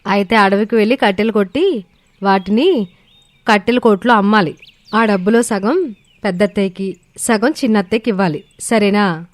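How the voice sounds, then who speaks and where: A woman voicing a shrewd, sarcastic young village daughter-in-law in an animated moral story, outwardly polite but firm. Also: mid-range voice, normal speed, long pauses, no echo, close to an everyday voice. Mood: stern. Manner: firm, slyly sweet.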